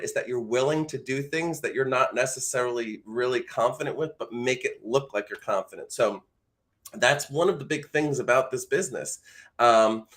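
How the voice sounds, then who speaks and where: Male host-coach, mid-range pitch, conversational and supportive, for live teaching and demo reads. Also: a bit bright. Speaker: voice acting coach and livestream host. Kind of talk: casual live teaching with read-aloud demonstrations.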